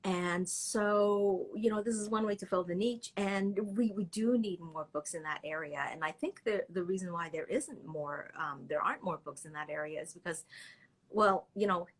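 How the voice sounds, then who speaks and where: A woman's voice: on the low side, normal speed, rough recording. A middle-aged woman, a children's book author whose first language is Cantonese, chatting animatedly and laughing often in a live interview. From Canada. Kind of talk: casual live interview chat.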